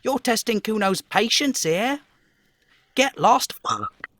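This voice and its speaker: A man voicing an aggressive, sneering young street boy who swears and talks about himself in the third person in a high, strained voice, in a game. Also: very animated, slow pace, fairly bright tone, no room sound, full character voice. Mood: aggressive, scornful. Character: foul-mouthed aggressive bratty young street boy, Kuno.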